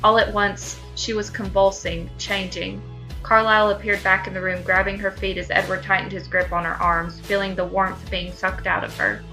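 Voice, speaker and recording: A woman's voice: medium pitch, level tone, normal speed, pushed and bright, soft background music, poor audio. A woman co-hosting a comedy podcast, talking casually and reading fan fiction aloud. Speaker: podcast co-host.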